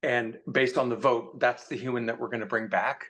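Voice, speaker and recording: A man with a mid-pitched voice talks casually as an interview guest. He rambles through his thoughts in a joking, self-deprecating manner. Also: steady tone, fairly quick, a bit bright. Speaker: author guest. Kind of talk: casual conversation.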